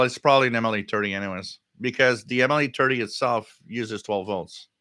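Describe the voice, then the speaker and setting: A man hosting a casual live stream, reading out comments and answering them in a halting, thinking-aloud way. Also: on the low side, pushed and bright, dry recording, studio quality. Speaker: livestream host.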